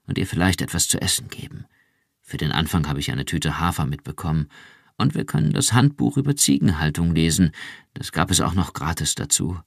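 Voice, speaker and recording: Guy doing a careful husband, very low voice, gravelly, close and dry. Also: dry recording, exaggerated voice. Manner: careful, measured. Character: cautious, quietly pleading husband.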